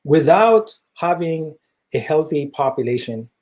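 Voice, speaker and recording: A middle-aged man with an East African accent explaining himself as an interview guest, earnest and measured, with hesitant phrasing. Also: a little high, animated, darkish tone. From East Africa, Ethiopia and Kenya. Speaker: interview guest, tech entrepreneur.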